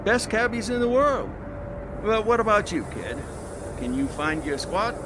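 Man playing a lively, brash 1920s newsman. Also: high-pitched, a little slow, noisy background. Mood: breezy, cocky. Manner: wisecracking, slangy, folksy swagger. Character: brash, worldly 1920s sports reporter, older mentor. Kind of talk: 1920s-set audio comedy drama acting.

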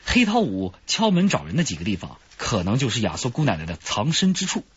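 A cautious, quick-talking cop. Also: male voice, mid-range voice, wildly swooping pitch, slightly rough, no echo, muffled recording, exaggerated voice. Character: careful, cautious male police officer colleague. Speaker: police officer. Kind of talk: broadcast radio drama acting.

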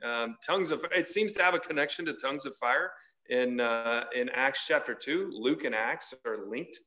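A man's voice: medium pitch, moderate pace, bright, forceful tone. A man in his forties giving an emphatic sermon, reading scripture and explaining it, repeating phrases for stress. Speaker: preacher leading a Bible study. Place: large church hall, indoors.

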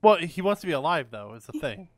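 Male gamer co-player, animated delivery, mock-earnest and joking, casual roleplay banter. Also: fairly high pitch, quick pace, over loud music. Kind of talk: improvised roleplay chat.

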